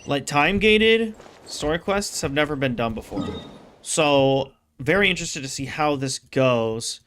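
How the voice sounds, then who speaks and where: A man's voice: a little high, expressive, measured pace, a bit bright, slight background noise. A man giving casual, rambling game commentary, thinking aloud as he streams.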